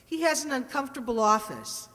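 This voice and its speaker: Woman acting a high-voiced, animated radio sitcom housewife. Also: fairly quick. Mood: chatty. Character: spirited, chatty, starry-eyed 1940s-style housewife. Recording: long hall reverb.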